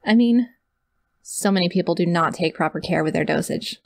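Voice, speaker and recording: A woman's voice: on the low side, moderate pace, gravelly, no room sound. A woman playing a sweet, awkward, clingy young yandere kidnapper in an ASMR audio roleplay, rambling and gushing straight to the listener. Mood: excited, doting.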